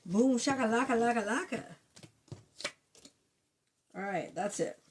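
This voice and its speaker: A woman's voice: a little high, unhurried, slight background noise. Blunt, foul-mouthed woman with a sore throat. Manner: blunt, profane, chatty. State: sore throat, a bit hoarse. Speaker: tarot reader. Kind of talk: casual tarot reading to camera.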